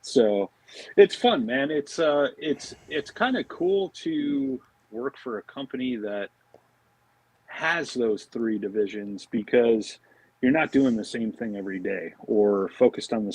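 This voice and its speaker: A man's voice: medium pitch, husky, no echo, rough recording. A man chatting casually as a podcast guest, easygoing and informal. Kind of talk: casual chat.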